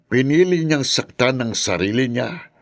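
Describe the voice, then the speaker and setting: An actor playing a loyal middle-aged friend who speaks in a calm, consoling way. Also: male voice, mid-range voice, a little slow. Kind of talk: audio drama acting.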